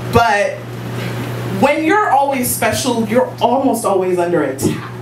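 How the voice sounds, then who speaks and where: A woman's voice: on the high side, lively intonation, unhurried, crowd noise. A woman in her late twenties from Guyana, telling a personal story on stage in a confident, playful, conversational way. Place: live storytelling show in a room. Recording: roomy, distant, noisy live room.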